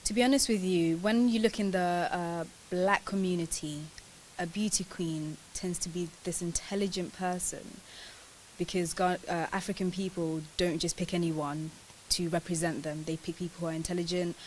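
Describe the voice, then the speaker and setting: Low-voiced young woman, hissy recording. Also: fairly even intonation, moderate pace, a little mellow. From Ghana. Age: 20s. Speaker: interview guest, pageant winner. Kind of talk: casual interview answers. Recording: clearly hissy, noisy sound.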